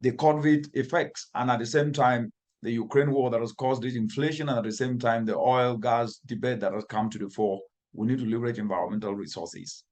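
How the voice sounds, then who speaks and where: A man with a Cameroonian accent speaks as an interviewed expert at a middling pitch. He tells things earnestly, leaning on repeated phrases to build emphasis. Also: fairly even intonation, a little fast, darkish tone. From northwestern Cameroon. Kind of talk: earnest interview answers, storytelling.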